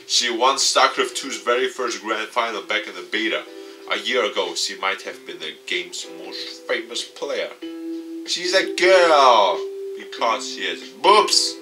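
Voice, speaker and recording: Guy with a lowish voice doing a lets play, bit far from the mic, guitar music behind. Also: lively intonation, unhurried. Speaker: let's play host. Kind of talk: let's play commentary, reading visual novel text aloud. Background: guitar game music.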